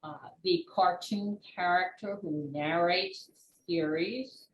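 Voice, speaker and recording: An older woman, in her sixties or beyond, answering interview questions as an author and former teacher in a measured, explanatory way with pauses between short phrases. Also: on the low side, lo-fi sound. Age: 60s or older. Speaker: children's book author and retired teacher being interviewed. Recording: roomy echo with clear background noise.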